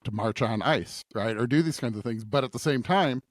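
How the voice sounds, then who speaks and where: A middle-aged man talks over scripture as a podcast co-host, with a medium-pitched voice in a very dry, close recording. He feels his way through his points haltingly and reflectively, often seeking agreement as he goes. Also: no echo. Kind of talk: conversational scripture discussion.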